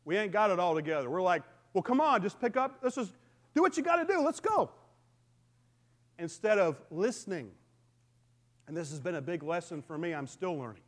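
A middle-aged man with a booming voice, preaching a conversational sermon to his congregation. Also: normal speed, pauses often. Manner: lively, conversational preaching with call-and-response. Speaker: pastor.

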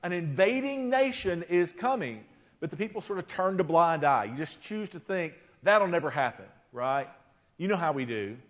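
Forties male pastor, high pitch, chatty self-deprecating sermon, long hall reverb. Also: lively intonation, moderate pace. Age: 40s. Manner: conversational, self-deprecating, frequent "right?" asides.

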